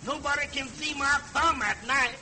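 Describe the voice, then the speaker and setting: A man's voice: very high-pitched, normal speed, crowd noise. A man playing a broad comic stooge in old 1930s radio comedy. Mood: joking. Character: broad mocking minstrel-style comic stooge. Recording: noisy 1930s radio recording.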